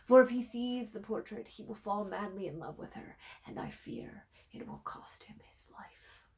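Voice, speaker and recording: A woman voicing a weak, worried old dying king in a storybook, speaking in a breathy, halting, solemn way. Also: mid-range voice, a little mellow, in a whisper, lightly acted. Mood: weak, pleading. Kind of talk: storybook read-aloud.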